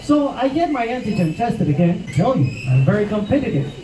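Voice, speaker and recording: Brief: fifties Mexican man with a Mexican Spanish accent, high and lively voice, stand-up in a roomy venue, mic at a distance, crowd audible. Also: fairly quick. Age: 50s. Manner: animated, loud punchlines to a crowd. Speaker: stand-up comedian. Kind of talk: stand-up comedy set. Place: comedy venue with live audience.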